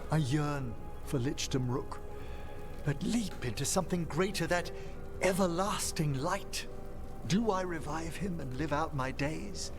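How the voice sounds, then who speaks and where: Game role: male actor as older scholarly necromancer, solemn and tender, measured pace, music underneath. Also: fairly high pitch, lightly acted. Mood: torn, earnest. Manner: formal, measured, eloquent. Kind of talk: theatrical video game acting. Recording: music behind the voice.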